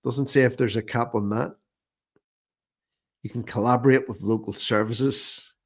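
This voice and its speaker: An Irish man delivers informal commentary at a middling pitch. He sounds scornful and sarcastic, and likes to repeat his phrases to drive them home. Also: steady tone, a little slow. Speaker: online commentator, host.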